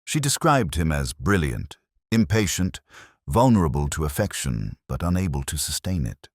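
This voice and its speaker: An extremely low-pitched man delivers scripted detective fiction as a reflective, world-weary inspector. His intonation moves expressively while the manner stays measured and brooding. The sound is close and dry. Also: sing-song, dry recording. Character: world-weary, reflective male police inspector recounting a case. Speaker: audio drama narrator. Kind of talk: scripted first-person detective story narration.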